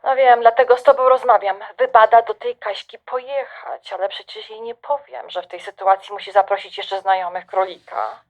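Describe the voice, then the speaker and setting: Actress as high-voiced, scatterbrained middle-aged mother. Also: fairly even intonation, normal speed, dull audio, close to an everyday voice. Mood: animated, practical. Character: chatty, slightly scatterbrained mother of a grown daughter. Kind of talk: naturalistic audio drama dialogue.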